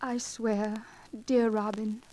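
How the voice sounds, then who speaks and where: An actress playing a gentle, earnest young noblewoman with refined, archaic speech in a 1940s radio drama. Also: mid-range voice, level tone, takes it slowly, close to an everyday voice. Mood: tender. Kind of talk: 1940s radio romance acting. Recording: noisy 1940s radio recording with clicks.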